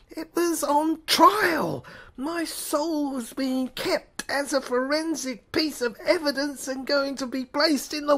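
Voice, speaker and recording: A woman narrating an audio play in a halting, fragmented, intense first-person dramatic style. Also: high-pitched.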